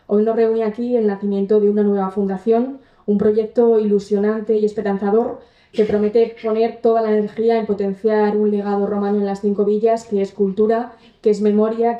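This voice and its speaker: A woman from presenting a new foundation in a formal, measured speech at a theater venue. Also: mid-range voice, mellow. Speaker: event presenter. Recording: echoey, distant, lo-fi room mic.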